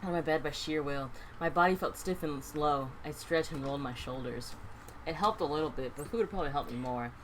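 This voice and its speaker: A woman's voice: low voice, fairly quick, slight background noise, rough recording. A woman reading visual novel narration aloud as a let's play host, with some casual banter.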